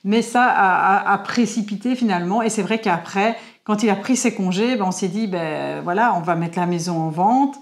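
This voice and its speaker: A woman in her forties from Belgium with a Belgian French accent, co-hosting a casual Q&A vlog in a lively way, often restarting her words. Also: medium pitch, normal speed, few pauses. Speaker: vlog co-host. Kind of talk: casual Q&A chat. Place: covered porch beside a house.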